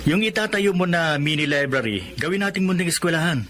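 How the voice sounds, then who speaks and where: An actor playing a cheerful, kind young teacher with a friendly, upbeat way of talking. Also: male voice, on the high side, animated, fairly quick, pushed and bright, a little hiss or hum. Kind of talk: radio drama acting.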